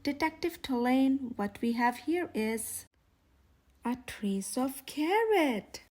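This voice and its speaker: Child lab expert, girl, played by a woman: solemn, precise, high and unhurried storybook delivery. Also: lively intonation, a little mellow, full character voice. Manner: formal, clinical. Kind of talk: children's storybook read-aloud.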